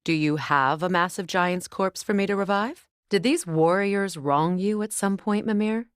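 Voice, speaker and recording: An actress voicing a proud, guarded warrior goddess and witch in a video game, with measured, formal and earnest phrasing. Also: mid-range voice, clear, resonant tone, dry recording, clean studio sound, lightly acted. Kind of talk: video game character dialogue.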